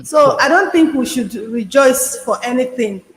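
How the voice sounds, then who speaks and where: A woman comments on the news in a strongly echoing, reverberant space. Her voice is high, with a Nigerian accent. She is opinionated and emphatic, often repeating a phrase to drive it home. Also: expressive, normal speed. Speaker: panelist. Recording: echoey, reverberant room sound.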